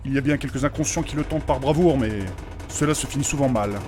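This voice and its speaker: A man playing a formal, pedantic gentleman mage in a comic fantasy audio drama, speaking in precise, old-fashioned phrases. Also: medium pitch, fast, natural voice. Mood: solemn, explanatory. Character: formal, learned, fussy gentleman mage companion.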